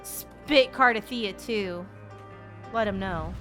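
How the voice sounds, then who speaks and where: A woman streamer giving a casual, foul-mouthed, slangy and excitable live reaction to a game. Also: medium pitch, takes it slowly. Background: game music.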